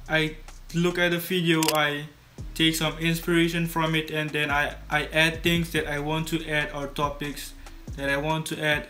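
Teenage male vlogger from Suriname, native Dutch speaker; monotone delivery, casual tutorial read. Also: fairly high pitch. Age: teens. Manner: casual, repeats and restarts words. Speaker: YouTube creator. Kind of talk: scripted tutorial vlog to camera. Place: small bedroom studio indoors.

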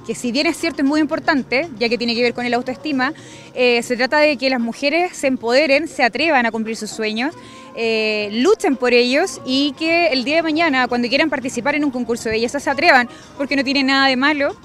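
A Chilean woman speaks in a high-pitched voice as an interviewed organizer. She is outdoors on a reporter's mic, with faint music behind. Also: fairly quick, a bit bright. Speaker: pageant organizer. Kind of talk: on-camera interview. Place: outdoors on a lawn. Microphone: handheld reporter microphone.

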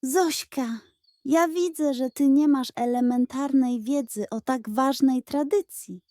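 A woman's voice: slow pace, dark tone, dry recording. Woman doing a huffy greedy pug dog. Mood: indignant. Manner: whiny and huffy, high and overexcited. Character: greedy, lazy, indignant male pug dog.

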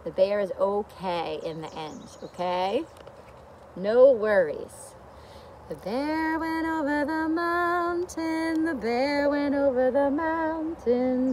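High-pitched woman teaching young children. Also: darkish tone, a little hiss or hum. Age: middle-aged. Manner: asks the young viewers frequent simple questions. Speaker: children's teacher reading and singing a picture book. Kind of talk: gentle instructional talk and singsong storytelling for young children. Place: outdoors in a leafy garden. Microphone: camera or phone a short distance away.

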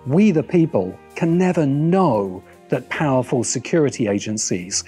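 A middle-aged man delivering scripted video essay commentary in a deliberate, wry manner. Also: medium pitch, lively intonation, mellow. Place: open-sided outdoor pavilion by a garden. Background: background music.

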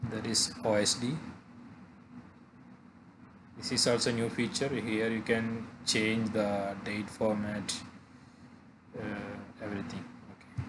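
A man narrating a software tutorial haltingly, pausing often mid-phrase. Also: fairly low pitch, level tone, background noise. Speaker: tutorial presenter.

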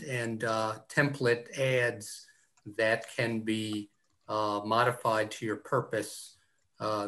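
A slow, flat male voice on a video call, with little rise or fall. He offers help in a measured, unhurried way. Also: mid-range voice.

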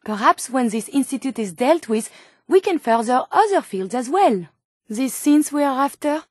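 A curious robot scientist from a video game. Her voice is high and French-accented, with precise, earnest, formal phrasing. The recording is dry and close, as studio game dialogue. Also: lively intonation, normal speed, no echo. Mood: troubled, moral concern. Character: eager, idealistic, curious female robot scientist.

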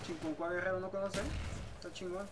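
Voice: mid-range voice, level tone, a little fast. A gamer with a Mexican accent chatting casually over game voice chat, slangy and full of hesitant questions. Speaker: online gamer, video maker. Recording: noisy game audio with gunfire. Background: video game gunfire.

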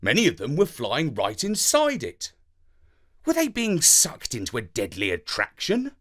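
A man voicing an excitable, loving, dramatic space dog who narrates a children's story with theatrical enthusiasm. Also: mid-range voice, measured pace, exaggerated voice. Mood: wondering.

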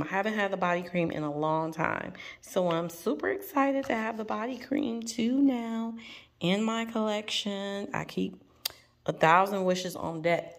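A middle-aged woman, chatting casually to viewers in a folksy, playful, expressive way. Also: mid-range voice. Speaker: lifestyle vlogger.

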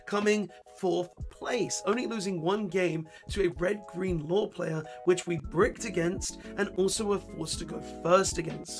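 A hoarse young man talks to camera from his bedroom, chatty and loose, with a fairly high voice. His voice is worn and mostly gone, and music plays clearly behind him. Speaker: vlogger. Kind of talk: casual vlog chat. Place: bedroom at home. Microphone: webcam or desk camera, facing camera.

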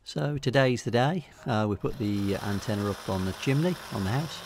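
A middle-aged man with an English accent giving a casual, unscripted voice-over walkthrough, hesitating and pausing often. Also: lowish voice, lively intonation, slight background noise. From England. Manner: hesitant, unscripted delivery with many pauses. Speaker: hobbyist video narrator.

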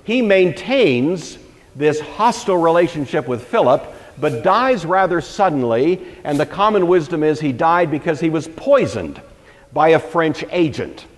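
Older male, somewhat high voice; wry, emphatic storyteller lecturing on history in a reverberant room. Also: expressive, unhurried. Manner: storytelling, wryly humorous, emphatic. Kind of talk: lecture to a live group. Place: indoor lecture hall or church room, at a lectern. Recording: reverberant hall.

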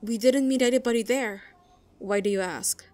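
A woman's voice: on the high side, moderate pace, warm tone, no room sound, natural voice. Actress as a shaken, adamant sailor woman. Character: shaken, adamant female sailor, a shipwreck survivor. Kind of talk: fantasy audio drama acting. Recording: faint tavern ambience behind.

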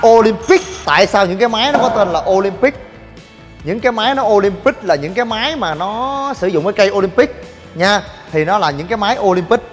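A young man hosting a gym vlog, joking in rapid banter and shouting coaching cues. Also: high-pitched, lively intonation. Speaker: fitness vlogger. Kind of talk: energetic gym demo vlog. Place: large indoor gym. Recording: long gym reverb with music.

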